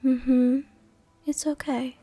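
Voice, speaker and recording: A woman playing a worldly, softly dominant vampire countess in an intimate roleplay ASMR, with a soft, gentle, tenderly commanding way of speaking. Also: high-pitched, little pitch movement, very slow, no room sound, faint music behind, exaggerated voice. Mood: tender, comforting.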